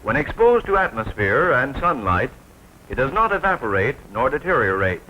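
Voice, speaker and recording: An American man narrating a 1940s military training film from a script, formal and precise, with clearly enunciated announcer delivery. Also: medium pitch, sing-song, moderate pace, lo-fi sound. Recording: noisy 1940s film soundtrack.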